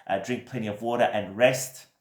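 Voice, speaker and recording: A man with a croaky voice from a recent cold, giving a gentle, reassuring weekly tarot reading with many fillers. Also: on the low side, fairly even intonation, normal speed, roomy sound. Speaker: tarot reader.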